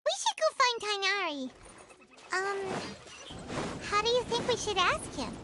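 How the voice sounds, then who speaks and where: A woman voicing a tiny, excitable fairy-like girl companion in a video game, with a squeaky childlike voice and an eager manner. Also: measured pace, big-room sound, full character voice. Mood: curious. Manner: refers to self in third person, eager. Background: music.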